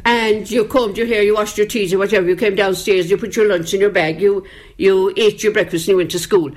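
An older woman, reminiscing gently and haltingly in a casual family conversation. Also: medium pitch, steady tone, rough recording. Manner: gentle, reflective, halting with fillers.

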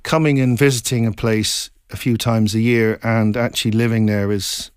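A middle-aged man from Scotland, an author being interviewed, talking in a reflective, meandering way with halting restarts. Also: on the low side, steady tone, smooth, dry recording, studio quality. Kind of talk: casual interview chat.

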